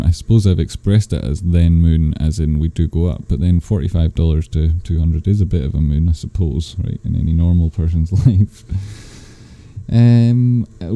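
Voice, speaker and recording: A man's voice: mellow, dry recording. A man from Scotland hosting a live stream, reading chat comments aloud with dry, teasing, rambling asides. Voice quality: bassy voice. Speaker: stock stream host. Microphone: desk microphone.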